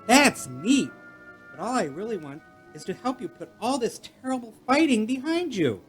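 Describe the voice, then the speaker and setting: An earnest boy space hero, acted by an adult for a radio play. The voice is innocent and gee-whiz, swinging up and down in pitch over faint music. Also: sing-song, moderate pace, lightly acted. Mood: earnest, eager.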